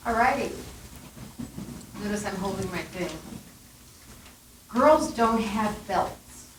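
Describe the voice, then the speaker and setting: A woman's voice: mid-range voice, slow pace, slight background noise. A woman moderating a panel Q&A in a chatty, playful way. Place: meeting room. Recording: echoey room from a distance.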